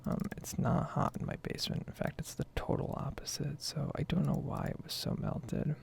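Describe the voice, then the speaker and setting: A woman speaking in a soft, breathy whisper, rambling casually in an ASMR style as she comments on her own gameplay. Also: low voice, level tone, moderate pace, a little hiss or hum. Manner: casual, meandering. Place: basement at home.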